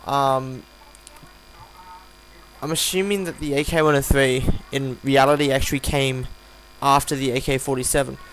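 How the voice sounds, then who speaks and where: A tired man with a croaky voice gives casual, unscripted game commentary, rambling and hesitant as he reviews. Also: medium pitch, steady tone, normal speed, fairly bright tone, rough recording. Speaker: gaming video host reviewing a weapon. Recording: home recording with audible hum, background noise.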